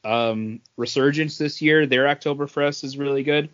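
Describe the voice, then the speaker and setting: A man's voice: mid-range voice, normal speed, fairly bright tone, lo-fi sound. A man co-hosting a podcast, giving an informal, conversational review. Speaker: podcast co-host. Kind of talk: casual chat, informal beer review.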